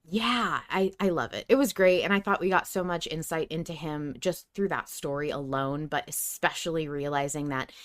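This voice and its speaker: A woman with a slightly low voice speaks as a podcast co-host. She talks casually and emphatically, telling things in an anecdotal, conversational way. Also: normal speed, few pauses.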